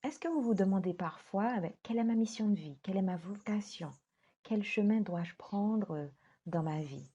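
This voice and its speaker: Woman, coach, husky, earnest, measured emphasis. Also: mid-range voice, dark tone, lo-fi sound. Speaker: life coach. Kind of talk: direct-to-camera talk.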